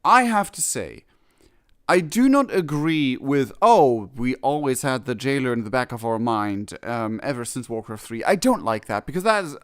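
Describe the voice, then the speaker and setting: A man's voice: mid-range voice, big pitch swings, normal speed, clean tone. A man giving casual live reaction commentary on a game, rambling and often rewording himself. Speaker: streamer reacting to game cutscenes.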